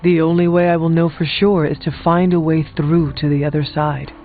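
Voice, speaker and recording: Man acting solemn hunter, higher voice, narrow-band audio. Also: flat delivery, soft background music, close to an everyday voice. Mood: suspicious. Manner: serious, formal, deliberate. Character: solemn, determined warrior hunter from the past. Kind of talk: video game character dialogue.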